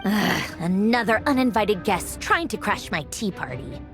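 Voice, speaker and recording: A woman playing a haughty, sharp-tongued young villainess in a video game, imperious and dismissive. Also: mid-range voice, animated, normal speed, over music, close to an everyday voice. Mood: irritated, commanding.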